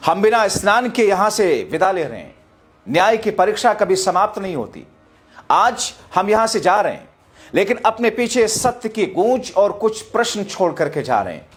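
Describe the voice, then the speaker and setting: A man presents political news in a dramatic, emphatic, rhetorical style, addressing his viewers directly. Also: high voice. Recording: music bed behind the voice. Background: background music.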